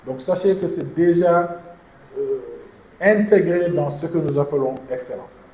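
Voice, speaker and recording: A man's voice: on the high side, mellow. Formal man at an echoey press conference. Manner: measured, formal, answering questions point by point. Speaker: insurance company executive. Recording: echoey room with background noise.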